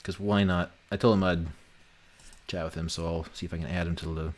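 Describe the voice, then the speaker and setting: A man's voice: deep voice, steady tone, a little fast. A man hosting a casual development livestream, joking and swearing, sometimes muttering to himself as he works. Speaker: livestream host and game developer. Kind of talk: casual chat while working on a game. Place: online voice call during a development stream.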